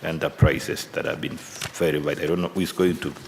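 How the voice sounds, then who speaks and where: A man questioning presenters at a parliamentary hearing, halting and probing, often restarting his sentences. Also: extremely low pitch, flat delivery, gravelly. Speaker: committee member questioning presenters. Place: large hearing room. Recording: long hall reverb, faint room noise.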